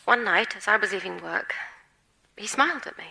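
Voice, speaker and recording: A meek, lovelorn personal assistant in despair. Her voice is very bright and tense, cracking into creak. She speaks in halting, earnest confession, as in radio comedy drama. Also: mid-range voice, expressive, full character voice, low-quality recording. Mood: wistful confessing.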